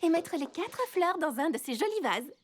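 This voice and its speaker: A woman's voice: high voice, sing-song, moderate pace, slight background noise. A woman voicing a sweet, eager young deer girl in a children's cartoon, generous, bubbly and fussily attentive. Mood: cheerful. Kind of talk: dubbed children's cartoon acting. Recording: loud music behind the voice.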